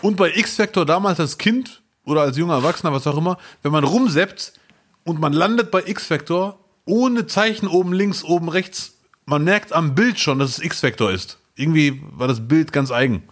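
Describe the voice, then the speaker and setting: A man's voice: a little high, lively intonation, normal speed, fairly bright tone. A man co-hosting a podcast in casual chat, joking and self-mocking, often laughing between remarks. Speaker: podcast co-host.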